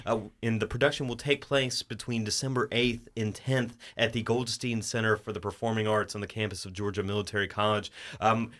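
A man hosting a local radio interview, speaking in a measured, halting way into a studio microphone. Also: mid-range voice, fairly bright tone. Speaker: radio host.

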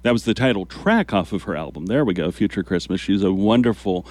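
A man hosting a community radio show from the studio, talking in a relaxed, rambling way with many fillers. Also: on the low side, brisk. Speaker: radio host. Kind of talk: casual community radio chat. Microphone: studio microphone.

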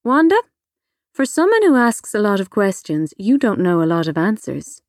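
A woman's voice: mid-range voice, sing-song, normal speed, darkish tone, no room sound. A woman playing a guarded, sardonic adult in an audiobook, terse and impatient with children. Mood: sardonic, awkward. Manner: dry, terse, sarcastic. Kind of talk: audiobook character dialogue.

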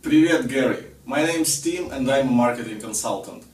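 A man's voice: mid-range voice, moderate pace, echoey room, distant mic. A man with a Russian accent, a marketing consultant asking an earnest, worried video question.

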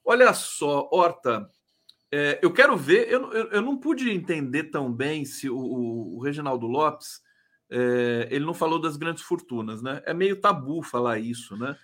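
A man with a Brazilian accent casually hosting a live chat show, playful and teasing, sometimes halting and repeating words as he frames a thought. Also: on the high side, animated, a bit bright. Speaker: host.

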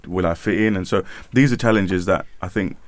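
A man's voice: deep voice, brisk, no echo. A man co-hosting a radio discussion, explaining his points earnestly with plenty of "you know" and "um". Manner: earnest, explanatory, many fillers. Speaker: radio show co-host. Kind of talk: casual panel discussion.

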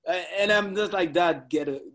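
A man, chatting casually about parkour in animated, slightly broken English with laughs. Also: high-pitched, normal speed. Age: 40s. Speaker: parkour practitioner. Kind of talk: casual conversation.

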